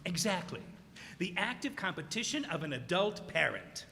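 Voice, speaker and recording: A man acting an exasperated, quick-witted imprisoned broadcaster in a satirical radio play, making his points pointedly. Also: sits high, big pitch swings, creaky, pushed and bright, slight background noise, exaggerated voice. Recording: echoey hall stage performance.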